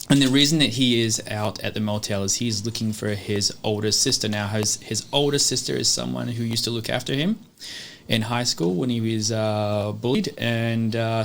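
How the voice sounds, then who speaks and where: A man's voice: on the low side, vocal fry, fairly bright tone, slight background noise. A man casually introducing his character as a newcomer at a tabletop role-playing game.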